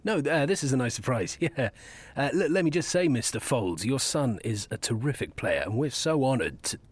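A man's voice: mid-range voice, animated, moderate pace, slightly rough, dry recording. An actor playing a harried male football club chief executive in an audio drama, flustered and exasperated.

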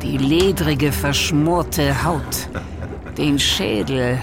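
A man's voice: a little high, very slow, background noise. A man narrating a scripted horror audio drama in a dramatic style.

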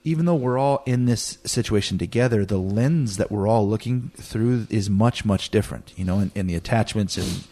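Deliberate low-voiced man in his thirties. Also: mellow, no echo. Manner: deliberate, halting, repeats phrases for emphasis. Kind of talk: reflective conversational explanation.

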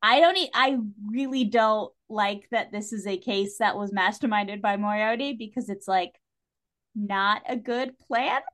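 A woman in a casual podcast discussion, speaking at a medium pitch. She is opinionated and animated, with a wry edge to how she puts things. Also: measured pace. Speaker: podcast guest.

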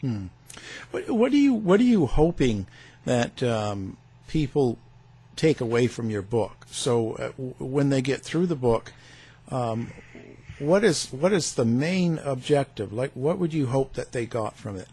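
A man interviewing a guest in a conversational way, halting and tentative as he rephrases his questions. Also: medium pitch, sing-song, dark tone, slightly rough.

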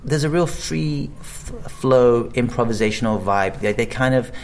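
A man's voice: medium pitch, normal speed, creaky. A man, an actor, chatting casually in an interview, rambling with many restarts and fillers. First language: English. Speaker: actor guest.

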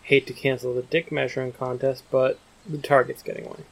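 A man playing a blunt, commanding field operative in an audio drama, with a creaky voice and flat delivery. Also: mid-range voice, fairly quick, poor audio.

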